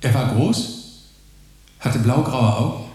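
A man's voice: mid-range voice, slow, dark tone, lightly acted. An actor in a German radio drama playing an awkward, evasive young immigrant man with a troubled past. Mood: evasive, reflective. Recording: very echoey room, music behind.

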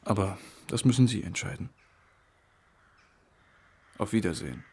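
A polite police commissioner in a radio crime play. His voice is fairly low yet youthful. He speaks in an earnest, measured and discreet way. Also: expressive, creaky, dark tone, slightly rough, heavily acted. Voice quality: young-sounding, pleasant timbre. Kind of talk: radio drama acting.

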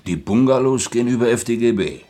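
An actor in a German radio play playing a shrewd, chatty restaurant manager who calculates aloud in colloquial short phrases. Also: male voice, medium pitch, animated, fast talker, warm tone, lightly acted. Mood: calculating.

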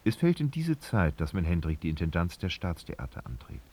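A man narrating a literary radio drama in a husky voice, with dramatic, sardonic storytelling. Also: low-pitched, wildly swooping pitch, fairly quick, warm tone, exaggerated voice.

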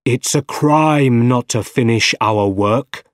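Man as a slow, brooding scientist villain. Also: medium pitch, no room sound, clean studio sound, close to an everyday voice. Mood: grim, obsessed. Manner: slow, heavy, dramatic delivery.

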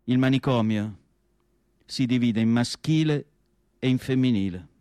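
An actor playing a reflective, melancholic asylum doctor writing his diary, in a solemn, measured radio drama monologue with deliberate pauses. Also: medium pitch, dark tone, clear, resonant tone, dry recording, natural voice. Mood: reflective, recollecting.

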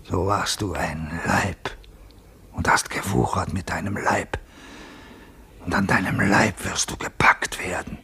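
Husky, severe holy man. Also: steady tone, bright, forceful tone, whispered, exaggerated voice. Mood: hushed condemnation. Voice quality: husky, breathy, creaky. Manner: slow, severe, preaching, often hissing low. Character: stern, scornful holy man condemning a ruler. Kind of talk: German radio play, theatrical dramatic acting.

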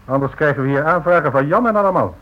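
An actor playing a confident, formal business partner in a radio play, with a placating manner. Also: male voice, fairly high pitch, lively intonation, fast talker, natural voice. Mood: formal, brisk. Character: smooth, confident, dismissive business partner, a financier. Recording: dull old radio recording.

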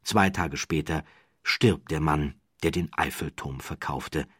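Deep, husky male storyteller. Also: no room sound, studio quality. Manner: measured, emphatic storytelling with deliberate pauses. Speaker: audiobook narrator. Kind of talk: scripted dramatic narration of a true crime story.

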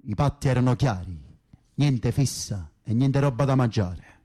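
A man's voice: medium pitch, a little slow, warm tone, lightly acted. A man playing a blunt Neapolitan mobster convict. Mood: irritated. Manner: blunt, curt, slangy. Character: gruff, impatient young male Neapolitan mob foot-soldier in prison. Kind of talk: radio play acting.

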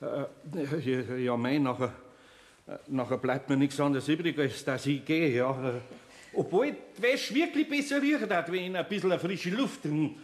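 A man's voice: on the high side, expressive, moderate pace, lightly acted. Flustered older Bavarian craftsman, played by a man, echoey. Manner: hesitant, muttering, bumbling. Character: flustered, good-hearted older male master craftsman. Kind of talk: children's radio play acting.

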